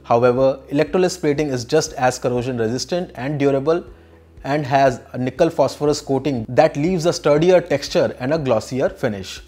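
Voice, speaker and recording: Casting: male presenter, young adult, Indian accent, medium pitch, measured scripted delivery for instructional business videos. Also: dark tone, faint music behind. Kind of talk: scripted explainer narration.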